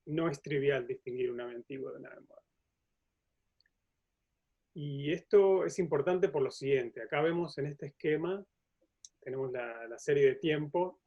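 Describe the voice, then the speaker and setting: A man's voice: mid-range voice, darkish tone. Male lecturer, Uruguayan accent, mid-range voice, informal explanatory delivery, slightly dull recording. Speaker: museum curator and professor giving a talk. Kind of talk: informal science lecture with slides. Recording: dull, lo-fi audio.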